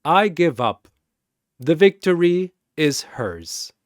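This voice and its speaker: Man doing a serene, ancient genie servant, slow and soft. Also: a little high, big pitch swings, dry recording, studio quality, voice pushed up.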